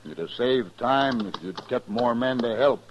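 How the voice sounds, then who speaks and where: An actor playing a stern, proud older rancher boss in a 1950s radio western, blunt and clipped, with a rustic American West accent. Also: male voice, medium pitch, animated, measured pace, close to an everyday voice. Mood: brusque, commanding. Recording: muffled, hissy old radio recording.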